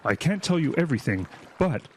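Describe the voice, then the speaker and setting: Casting: actor as pompous, evasive secret agent, very animated pitch, radio play, noisy water backdrop. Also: male voice, fairly quick. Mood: evasive, flustered. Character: bumbling, secretive, overconfident male government agent. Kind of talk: audio drama acting.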